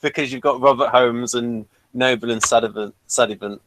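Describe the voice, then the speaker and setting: A man's voice: medium pitch, steady tone, normal speed, fairly bright tone, a little hiss or hum. A man hosting a casual review discussion, moderating and moving things along. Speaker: podcast host.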